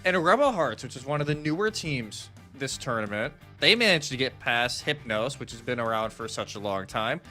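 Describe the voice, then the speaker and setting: A man's voice: medium pitch, animated, moderate pace, hardly any pauses, sharp tone. A man doing conversational live esports analysis as a commentator, explaining things in a casual way. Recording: music behind the voice. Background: music.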